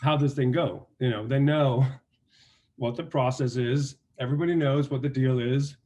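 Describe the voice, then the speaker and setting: A man's voice: mid-range voice, moderate pace. A man with a Danish accent talks casually in an interview about his work. He tells stories at an easy pace, restarting sentences and adding fillers as he goes. His voice sits in a middle range. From Denmark. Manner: relaxed storytelling with many restarts and fillers. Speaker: actor and guest.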